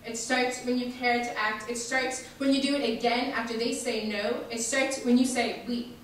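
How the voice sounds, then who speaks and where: A woman reciting a poem in measured, deliberate short phrases in a large echoey hall. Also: fairly high pitch, steady tone. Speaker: reader at a memorial staged reading. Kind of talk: poetry recitation. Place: large echoey indoor hall, distant microphone. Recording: echoey hall from far off, faint noise.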